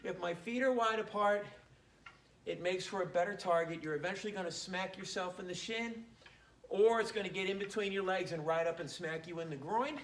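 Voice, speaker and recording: A man's voice: sits high, brisk. A man teaching a martial arts class on camera, casual and joking as he demonstrates the moves. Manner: relaxed, joking, talks while demonstrating. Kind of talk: casual instructional demo. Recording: echoey room, faint background noise.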